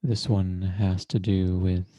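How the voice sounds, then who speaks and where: A man leading a guided meditation as a teacher, speaking in a deliberate, measured way with long pauses and formal, precise wording. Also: low voice, flat delivery, warm tone, no room sound.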